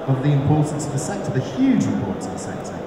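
A man's voice: fairly high pitch, very animated, fast, loud music behind the voice. Fluent man on a conference panel. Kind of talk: panel discussion. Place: large conference hall stage. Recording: echoey hall, loud noise.

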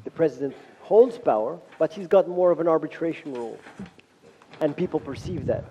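Measured man lecturing in a big hall. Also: fairly high pitch, animated, warm tone, slightly rough. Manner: measured, pausing for interpretation. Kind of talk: formal university lecture. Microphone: podium mic. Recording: lo-fi hall recording with long reverb.

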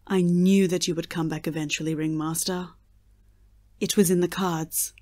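A woman playing a gentle, knowing fortune teller in an audio drama. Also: fairly low pitch, steady tone, moderate pace, lightly acted. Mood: soothing, knowing.

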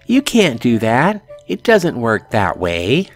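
A man's voice: medium pitch, wildly swooping pitch, takes it slowly, soft background music, pitched above the performer's own voice, heavily acted. A man voicing a stubborn, indignant anime schoolgirl in a visual novel, with a girlish scolding manner.

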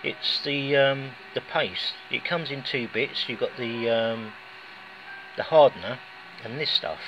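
A rambling, folksy British man talks through his DIY project at an easy, conversational pace. The sound is dull and lo-fi, like a phone mic, with clear noise in the background. His voice sits at an ordinary middle pitch. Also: unhurried, fairly bright tone. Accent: British English. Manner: chatty and rambling, with folksy asides. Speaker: hobbyist vlogger. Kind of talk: casual DIY demonstration. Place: indoors, garage or workshop floor. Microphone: handheld phone filming.

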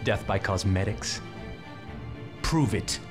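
A man's voice: low-pitched, animated, takes it slowly, music in the background. A man playing a tough, skeptical police detective in a video game, curt and sardonic. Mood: stern, sardonic. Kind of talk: video game cutscene acting.

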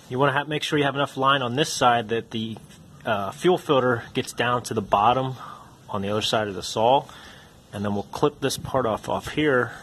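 Plainspoken low-voiced man explaining a repair. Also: a bit bright, slight background noise. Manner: plainspoken, practical, with filler "uh"s. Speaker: DIY repair channel host. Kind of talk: step-by-step repair tutorial.

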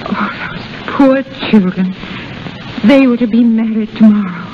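A woman's voice: fairly high pitch, measured pace, background noise, natural voice. A woman plays a kindly English mother who frets over her grown son. The acting is in 1930s radio style, and the old broadcast sounds dull and muffled. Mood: concerned.

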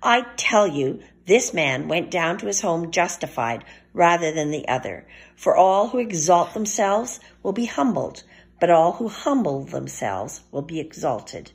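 A woman reading scripture aloud for a church service in a measured, formal way. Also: on the low side, sing-song, husky. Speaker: scripture reader.

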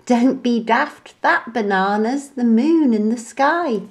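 A playful female monkey from a children's storybook, teasing and exclaiming, performed by a woman reader. Her voice is pushed high and moves up and down a lot at an unhurried pace. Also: animated. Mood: amused teasing.

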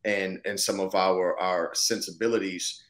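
A man's voice: low-pitched, fairly even intonation, a little slow, a bit bright. A man in his thirties speaking thoughtfully and casually in a conversational interview over a video call. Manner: thoughtful, casual, punctuated with "you know what I'm saying". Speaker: actor, interview guest. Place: indoor room, video call. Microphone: video call, seated close to the camera.

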